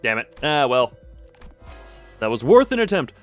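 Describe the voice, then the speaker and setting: A man's voice: mid-range voice, very animated, unhurried. Playful man rambling over game music. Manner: playful, rambling, muttering asides to himself. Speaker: live streamer. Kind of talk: casual gameplay commentary to chat.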